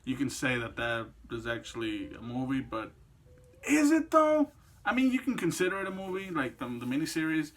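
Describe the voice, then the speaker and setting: A man's voice: fairly high pitch, huge pitch swings, normal speed, fairly bright tone. A man in his twenties giving casual, rambling, opinionated commentary on games and films, swearing freely as he goes. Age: 20s. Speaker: video creator and host.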